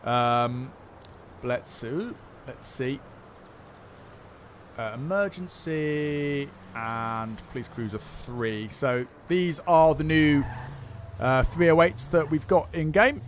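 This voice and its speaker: A man's voice: fairly high pitch, takes it slowly, pauses often, a little hiss or hum. A man chatting casually on a game livestream, answering viewer questions with lots of fillers and restarts. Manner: rambling, many fillers and restarts. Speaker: streamer.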